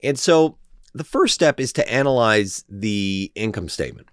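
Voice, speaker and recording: Lively low-voiced man analyzing stocks. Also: normal speed, smooth, dry recording, studio quality. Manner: analytical, emphatic. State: slightly congested from a head cold. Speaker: investing channel host.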